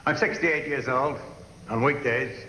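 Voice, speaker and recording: An actor playing a wisecracking old student. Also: male voice, medium pitch, fairly even intonation, measured pace, heavy background noise, close to an everyday voice. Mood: joking. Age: 60s. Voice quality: wisecracking, self-deprecating. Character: jokey elderly male returning college student. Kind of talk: 1980s TV movie comedy. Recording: echoey TV film soundtrack with music.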